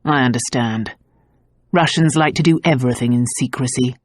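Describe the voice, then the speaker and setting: A woman voicing a gruff, devoted nobleman husband in an audiobook, speaking low and tenderly. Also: normal speed, no room sound. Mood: cold. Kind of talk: audiobook character voice.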